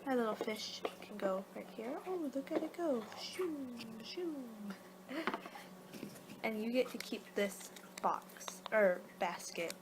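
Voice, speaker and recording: A woman performing a soft-spoken, partly whispered ASMR roleplay as a casual vampire, rambling and halting as she goes. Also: fairly high pitch, animated, very noisy. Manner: rambling, halting, losing her train of thought. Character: young-for-her-kind female vampire guiding a client, casual, a little exasperated.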